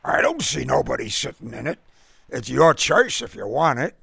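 A man's voice: mid-range voice, very animated, gravelly, fairly bright tone, slightly rough, no echo, clean studio sound, heavily acted. A man voicing a blunt, suspicious working-class cab driver and baseball fan with a slangy Brooklyn accent, in a told story. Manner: blunt, slangy, quick-fire.

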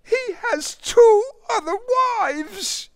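A woman's voice: extremely high pitch, animated, very slow, darkish tone, dry recording, voice pushed up, full character voice. A man voicing a furious young woman who cries out, close to tears. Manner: crying out angrily.

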